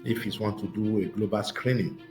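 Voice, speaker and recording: A lower-pitched man from Nigeria speaks as a guest on a video call. He answers in a measured, unhurried way, filling pauses with uh and um, and faint music sits under his voice. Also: fairly even intonation. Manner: measured, with many uh and um fillers. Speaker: guest, writers' association secretary general. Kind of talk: interview answers.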